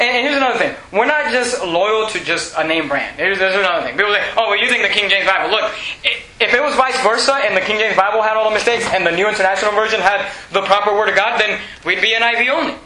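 A man's voice: sits high, quick pace, few pauses, sharp tone. A man from Venezuela whose first language is Spanish, preaching a sermon with emphatic rhetorical questions and frequent restarts. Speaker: pastor. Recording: echoey room with faint noise.

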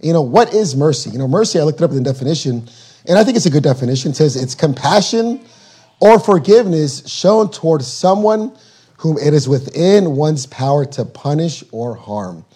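Hoarse man preaching a sermon. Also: fairly high pitch, big pitch swings, mellow. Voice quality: husky. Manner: reads scripture slowly and deliberately, then explains in plain words. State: losing his voice, hoarse. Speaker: guest preacher.

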